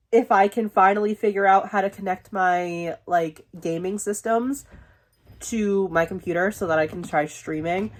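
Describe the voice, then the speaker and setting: A young woman vlogging casually from her bedroom, rambling with lots of fillers and swearing, sniffly and losing her voice. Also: medium pitch. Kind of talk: casual chat to camera. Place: bedroom, window open.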